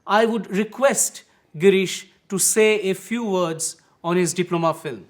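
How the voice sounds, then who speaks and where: High-voiced Indian male host. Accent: Indian English. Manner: formal, measured, with fillers when asking. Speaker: interviewer, host. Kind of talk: formal introduction and interview questions.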